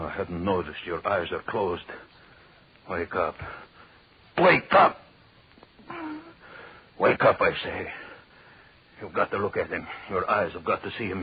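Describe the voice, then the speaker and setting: Deep-voiced deranged killer on old radio. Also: male voice, husky, exaggerated voice. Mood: frantic, commanding. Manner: slow brooding murmur breaking into frantic commands. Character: deranged vengeful male killer, gloating over his revenge. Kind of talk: old radio horror play acting. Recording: muffled, noisy old radio broadcast.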